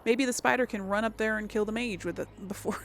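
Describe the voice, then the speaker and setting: A woman's voice: fairly high pitch, quick pace, vocal fry, fairly bright tone, slight background noise. A woman giving casual video game commentary, thinking aloud and exclaiming as she plays. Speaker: video game let's play host.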